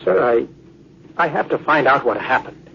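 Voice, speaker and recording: A man's voice: medium pitch, moderate pace, creaky, natural voice. Guy as young determined space lieutenant, pleading earnest type, dull old radio with a bit of hiss. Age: young adult. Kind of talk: 1950s radio drama acting. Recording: dull old radio recording, faint noise.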